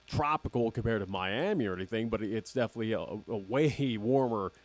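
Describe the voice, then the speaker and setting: A young man hosting a radio show in casual chat, slightly raspy and nasal, rambling and repeating "again" constantly. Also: fairly low pitch, brisk. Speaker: radio host.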